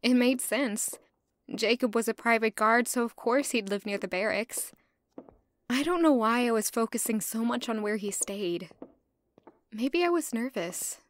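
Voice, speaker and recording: Young servant girl, fairly high voice, anxious, curious, chatty, audio drama narrator. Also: animated, measured pace. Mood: nervous, musing. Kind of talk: first-person audio drama narration and dialogue.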